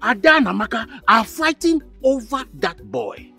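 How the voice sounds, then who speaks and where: A man's voice: very high-pitched, huge pitch swings, moderate pace, vocal fry, bright, forceful tone, soft background music, full character voice. An actor playing a worried middle-aged father, speaking in a grave, urgent way.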